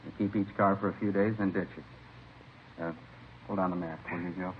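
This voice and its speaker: An actor playing an authoritative police captain who gives methodical instructions in a vintage radio crime drama. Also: male voice, deep voice, fairly even intonation, brisk, close to an everyday voice. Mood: businesslike. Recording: muffled, hissy old radio recording.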